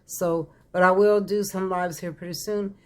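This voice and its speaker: An older woman talks through a tarot reading in a loose, chatty way, calling her viewers "y'all." Her voice is raspy and crackly, and it sounds thick and congested from a head cold. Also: fairly low pitch, fairly even intonation, moderate pace, darkish tone. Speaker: tarot reader. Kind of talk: casual psychic reading vlog.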